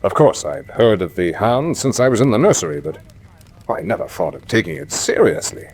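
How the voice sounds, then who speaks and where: An actor playing a stubborn, hot-tempered young heir in a radio drama, speaking in a blunt, forthright manner. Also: male voice, fairly low pitch, big pitch swings, a little fast, a little mellow, slightly rough. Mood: puzzled, frank. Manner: blunt, forthright, hesitating then firm. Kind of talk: classic radio drama acting.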